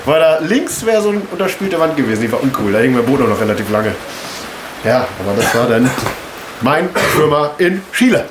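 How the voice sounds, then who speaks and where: A young man tells a story to camera in a casual, slangy way. His voice sits in a middle pitch. The room is echoey, with clear noise behind him. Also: very animated, a little fast. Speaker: kayaker recounting the day. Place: indoors in an unheated house.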